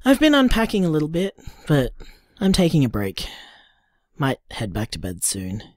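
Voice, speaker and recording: Jason, a tender, expressive young man. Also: on the high side, no room sound, studio quality. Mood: tender, musing. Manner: soft, musing, unhurried, affectionate. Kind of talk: voicemail audio drama.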